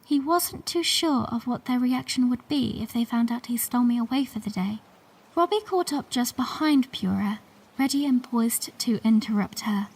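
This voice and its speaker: A woman playing a gentle young princess who narrates her memories in a scripted audio drama, measured in delivery. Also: on the high side, husky. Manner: measured, storytelling.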